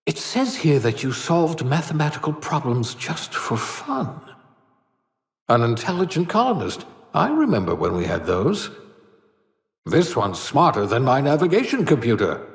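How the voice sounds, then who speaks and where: An actor playing an eccentric older fugitive scientist in a video game, wry and condescending, muttering theatrically to himself. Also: male voice, mid-range voice, huge pitch swings, measured pace, echoey room, big-room sound. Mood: musing, sardonic. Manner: muttering to himself, dryly sardonic, swooping emphasis.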